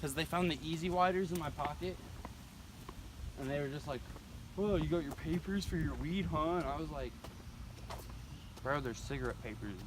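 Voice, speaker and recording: A young American man with a slightly high voice tells stories casually among friends. His talk is slangy and full of swearing, captured on an amateur recording with plenty of background noise. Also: normal speed, long pauses. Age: teens to young adult. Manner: slangy, profane.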